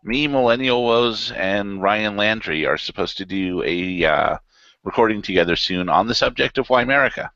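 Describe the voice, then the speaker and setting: Male chat participant, creaky lowish voice with a bright edge, heard over a dull internet call. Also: animated, normal speed, no echo. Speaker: participant in an online hangout. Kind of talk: casual group chat. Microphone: online voice call.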